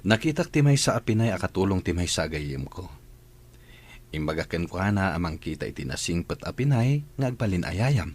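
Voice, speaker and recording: A man acting a gentle, tender father in an Ilocano radio drama, coaxing as if to a small child. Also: low voice, sing-song, moderate pace, breathy edge, heavily acted. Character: gentle father soothing his little princess.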